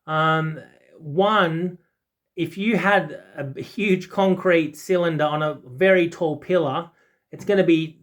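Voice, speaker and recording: A man in his forties giving a casual, unscripted product talk, rambling and often hesitating or correcting himself. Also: fairly high pitch. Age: 40s. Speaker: audiophile video host.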